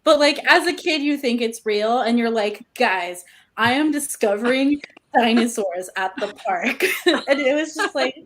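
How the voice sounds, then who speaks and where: A woman's voice: on the high side, expressive, a little slow, fairly bright tone. A woman sharing casual anecdotes in an enthusiastic, informal way. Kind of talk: casual anecdote in group chat.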